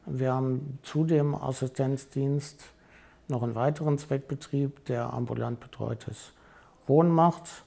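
A man's voice: medium pitch, creaky, warm tone. A man in his fifties presenting his organisation in interview style as a board member. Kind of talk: interview self-introduction for a promotional film. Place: bright meeting room indoors. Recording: music behind the voice.

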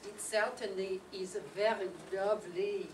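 A woman's voice: medium pitch, fairly even intonation, a little slow, lightly acted. A woman playing a polite, attentive French lady's maid with a French accent in an amateur radio play. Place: meeting room stage before an audience. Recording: echoey room, distant noisy pickup.

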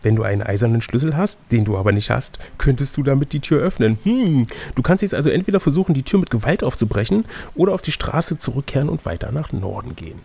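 A man with a creaky, somewhat raspy voice at a medium pitch leads a gamebook adventure. He switches between storybook narration and playful, casual banter. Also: lively intonation, fast, few pauses, dark tone. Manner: playful, chatty asides between read passages. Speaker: game master reading a gamebook aloud.

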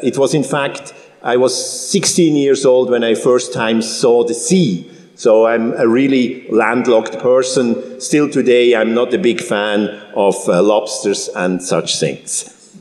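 A man in his fifties from Switzerland giving a public talk, halting with frequent restarts and fillers. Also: medium pitch, fairly even intonation, darkish tone. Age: 50s. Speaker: guest speaker. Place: large church hall. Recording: roomy hall with long reverb.

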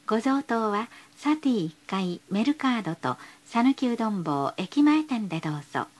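A woman's voice: on the high side, sing-song, moderate pace. A woman reading scripted bus stop announcements in a polite, formal, carefully enunciated way.

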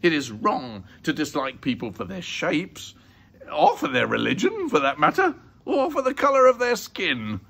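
A man voicing a principled, gently indignant mother in a storybook, with a measured, moralising delivery. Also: fairly low pitch, sing-song, husky, full character voice.

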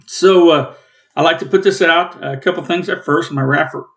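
Rambling man in his fifties, husky throat. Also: on the high side, normal speed, fairly bright tone. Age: 50s. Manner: rambling, plainspoken, self-corrects. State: coughing, rough throat. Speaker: Bible teacher and channel host. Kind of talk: casual home Bible study talk. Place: small room at home, indoors.

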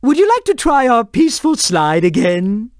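An actor playing a serene, polite monk creature in a video game, speaking in measured, formal phrases. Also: medium pitch, huge pitch swings, dry recording, studio quality. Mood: calm, instructive. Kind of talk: video game character dialogue.

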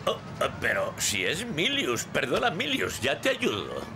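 An actor playing a kindly old gnome who tells a cartoon story expressively to young viewers. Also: male voice, a little high, wildly swooping pitch, unhurried, gravelly, over music, exaggerated voice. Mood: surprised, friendly. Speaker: narrator and main character. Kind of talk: animated cartoon storytelling, Spanish dub.

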